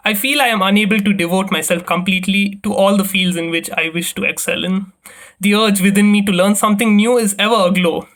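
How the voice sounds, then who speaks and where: High-voiced young Indian student presenting. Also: male voice, a bit bright. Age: young adult. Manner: formal, rehearsed, reading from a script. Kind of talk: prepared class presentation.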